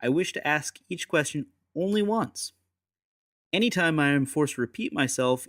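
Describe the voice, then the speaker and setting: Coolly menacing gentleman agent, acted by a man, dry sound. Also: medium pitch, no room sound, close to an everyday voice. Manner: deliberate, courteous menace. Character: ruthless, coolly menacing gentleman secret agent interrogating a prisoner. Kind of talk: dramatised audiobook acting.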